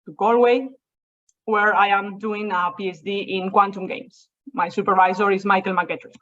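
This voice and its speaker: A woman's voice: medium pitch, steady tone, normal speed, a bit bright. A young woman with a Spanish accent gives an informal, storytelling seminar talk over a video call. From Spain. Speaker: seminar speaker. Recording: muffled video call audio.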